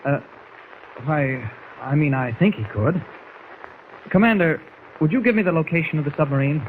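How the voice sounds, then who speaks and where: Guy doing polite curious everyman in 40s radio drama, lively pitch, tinny phone-like old recording. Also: moderate pace, a little hiss or hum, natural voice. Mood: questioning, insistent. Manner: polite, mild, inquisitive. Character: polite, curious, unassuming adult male civilian. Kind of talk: 1940s radio serial acting. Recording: thin, band-limited old radio recording.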